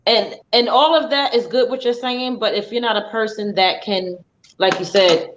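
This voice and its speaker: A woman's voice: on the high side, a little hiss or hum. Woman hosting a live chat, talky, restarts a lot, kinda muffled stream sound. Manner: chatty, quick affirmations, restarts mid-sentence. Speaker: host of a live talk stream. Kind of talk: casual live discussion. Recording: slightly dull lo-fi stream audio.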